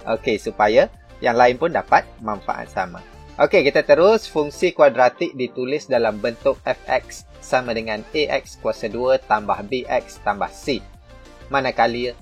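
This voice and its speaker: A man with a Malaysian Malay accent teaching maths, working through textbook examples step by step with fillers and self-corrections. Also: mid-range voice. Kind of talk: explaining a textbook lesson.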